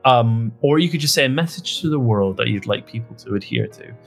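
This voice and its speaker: A man's voice: mid-range voice, lively intonation, brisk, creaky, faint music behind. A man hosting a podcast in a casual comedic chat, rambling and joking at his own expense. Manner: jokey, rambling, self-mocking. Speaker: podcast host.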